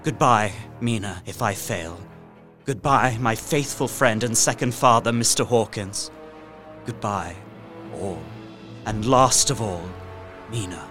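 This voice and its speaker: An actor playing a desperate, haunted young Victorian gentleman trapped in a castle, narrating his journal with intense, deliberate, formal delivery in a gothic audio drama. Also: lowish voice. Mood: sorrowful farewell. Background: music.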